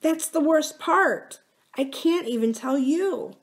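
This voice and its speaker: A woman voicing a sweetly pleading, manipulative young woman in an audiobook, with soft, drawn-out phrases. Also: high-pitched, very animated, voice pushed up. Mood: fearful pleading. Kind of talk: audiobook character dialogue.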